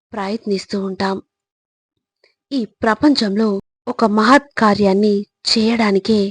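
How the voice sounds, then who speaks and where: Female motivational narrator: husky tone, mid pitch, measured emphatic phrasing, tight dry sound. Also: dry recording.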